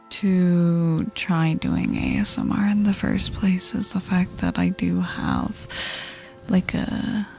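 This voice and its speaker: A woman with a deep voice streaming ASMR, soft-spoken and whispering, giggly and playful as she chats.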